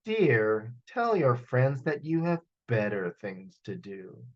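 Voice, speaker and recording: A man voicing a gentle mother dragon in a storybook, giving advice in a soft, measured manner. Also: medium pitch, sing-song, muffled recording, voice pushed down. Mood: firm advice.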